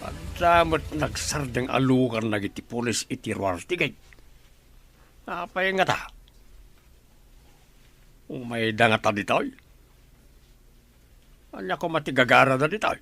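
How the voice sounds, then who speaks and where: A man's voice: mid-range voice, lively intonation, a little slow, vocal fry, long pauses, pushed and bright, no room sound, heavily acted. A man playing a grudging, lazy household guard in a Filipino audio drama, with an Ilocano accent. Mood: flustered.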